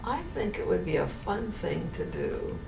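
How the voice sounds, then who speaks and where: Gravelly elderly woman reminiscing slowly. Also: lowish voice, expressive. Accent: General American. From New Orleans, USA. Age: older. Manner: slow, meandering, with many restarts. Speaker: interviewee, great-grandmother. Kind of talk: reminiscing interview. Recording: noisy, roomy home recording from a distance.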